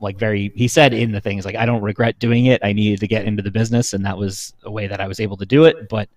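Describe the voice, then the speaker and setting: A man in his thirties speaking as a panelist in a casual chat, recounting things in an informal, halting, conversational way. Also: lowish voice, fairly even intonation, a little mellow, no echo.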